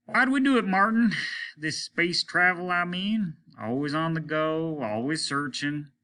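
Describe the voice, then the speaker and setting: An aging rocket captain played by a male reader, weary and scornful, with a piercing, forceful edge. He pitches his voice up and snaps out orders and indignant questions like someone used to command in this audiobook tale. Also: unhurried. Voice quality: sharp. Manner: snapping, indignant, barking rhetorical questions. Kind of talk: audiobook character voice.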